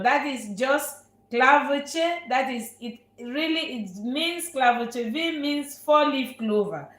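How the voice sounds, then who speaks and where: A woman chef talks warmly and a little repetitively as she hosts a live cooking stream. Her voice sits a touch high, and it rings in an echoey kitchen room. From Nigeria. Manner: warm, repetitive, answers viewer questions. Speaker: chef and channel host. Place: restaurant kitchen.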